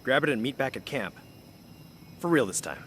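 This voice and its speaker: A man playing a weary, loyal soldier and mentor with some guilt and warmth, in video game dialogue. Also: mid-range voice, expressive, normal speed, close to an everyday voice. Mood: earnest, mentoring. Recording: game ambience and engine noise behind.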